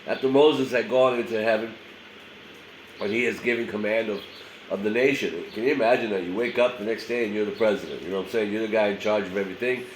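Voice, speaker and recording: A man's voice: lowish voice, fast talker. Male pastor, nasal from a head cold, casual filler-heavy preaching; echoey room, faint hum. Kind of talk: short daily sermon.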